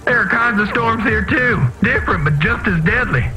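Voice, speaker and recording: An actor playing an earnest, masked refugee from underground vents in a video game, pleading in dramatic, formal wording. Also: male voice, high-pitched, animated, normal speed, music in the background, poor audio. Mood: grim.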